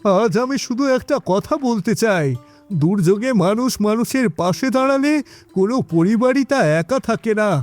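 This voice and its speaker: A man voicing an earnest, protective village father in an animated moral story. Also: high-pitched, moderate pace, soft background music. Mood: grateful.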